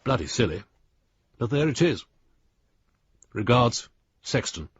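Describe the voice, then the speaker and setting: A man's voice: medium pitch, sing-song, takes it slowly, breathy edge, no room sound, highs cut off, full character voice. An actor playing a crusty older lawyer in a radio drama, reading out his letter in a blunt, dry, precise manner. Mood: grudging, formal. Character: crusty, exasperated older lawyer, loyal old friend.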